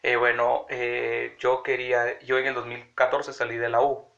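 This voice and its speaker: A man's voice: mid-range voice, completely flat delivery, unhurried, creaky, sharp tone. A young man in his early twenties with a cold, chatting rambly to his phone camera in a small room at home. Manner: rambling, chatty, addressing viewers directly. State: sick with a cold, congested. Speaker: vlogger. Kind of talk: casual vlog to camera. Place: small room in a house, indoors. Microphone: phone camera at arm's length. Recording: dull lo-fi phone audio.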